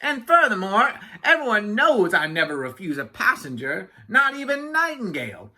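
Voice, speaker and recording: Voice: mid-range voice, big pitch swings, normal speed, sharp tone, voice pushed up. A storybook reader voicing a chatty, self-important yak taxi driver who rambles indignantly and obliviously. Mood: boastful, rambling. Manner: rambling, indignant, never lets others speak.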